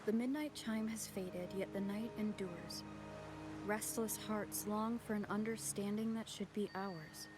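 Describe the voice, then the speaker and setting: Clear music sits behind a woman acting a composed, reflective video game heroine. She has a mid-pitched voice and speaks formally, in measured and thoughtful phrases. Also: fairly even intonation, natural voice. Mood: solemn, poetic. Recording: background music under the voice.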